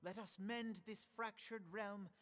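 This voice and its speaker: A man's voice: high voice, fairly even intonation, measured pace, fairly bright tone. An actor voicing an idealistic young male commander with formal, lofty, old-fashioned phrasing in a video game. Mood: rousing, solemn. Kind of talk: scripted game voice acting, oratory.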